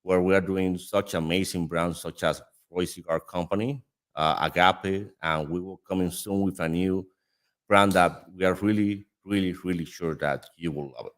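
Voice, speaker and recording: Deep-voiced Dominican man hosting a chat. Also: fairly even intonation, normal speed. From Dominican Republic. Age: 30s. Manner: laughs often, friendly and chatty. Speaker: host. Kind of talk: casual chat.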